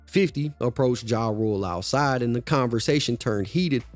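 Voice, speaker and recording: A man narrating a documentary-style story in a scripted, measured way. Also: medium pitch, dry recording, soft background music.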